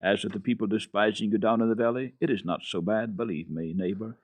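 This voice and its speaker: A man's voice: on the low side, steady tone, fairly quick, darkish tone, no room sound, lightly acted. A man voicing an earnest village pastor in a storybook reading, admonishing yet friendly, with a hearty, preaching manner.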